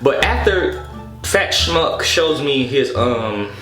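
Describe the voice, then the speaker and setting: Animated guy telling stories in an echoey room. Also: mid-range voice, slow. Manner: animated, exasperated, profane, slangy with repeated "you know what I'm saying". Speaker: storytelling vlogger. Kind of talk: casual comedic storytelling. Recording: echoey room with music behind.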